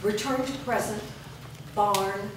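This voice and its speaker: A woman's voice: mid-range voice, fairly even intonation, takes it slowly. A woman reading stage directions as scripted narration. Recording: noisy, very echoey hall from far off.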